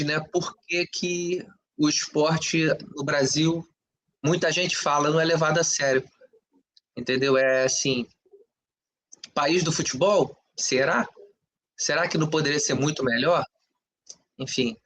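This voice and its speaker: A man's voice: a little high, unhurried, pauses often, a bit bright. A man from Brazil co-hosting a podcast, giving emphatic opinions in casual talk with frequent rhetorical questions and tag questions. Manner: conversational, frequent "entendeu?" and "né?" tags. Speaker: podcast co-host.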